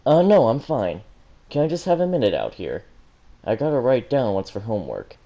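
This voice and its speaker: A man's voice: mid-range voice, sing-song, moderate pace, mellow. A man voicing a lovesick, self-doubting teenage girl in a story reading, hesitant and anxious. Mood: flustered. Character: lovesick, anxious teenage girl fretting over her crush.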